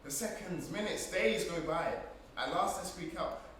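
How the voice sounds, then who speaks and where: A man's voice: high-pitched, measured pace, a bit bright. An actor playing an intense, restless young man, wry and poetic, in a theatrical monologue. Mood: intense, rising. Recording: echoey room, far mic, noisy.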